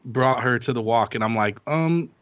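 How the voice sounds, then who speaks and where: A man's voice: mid-range voice, moderate pace, dry recording. A man co-hosting a podcast, trading crude, teasing banter. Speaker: co-host. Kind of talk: casual podcast chat. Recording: dry, slightly dull sound.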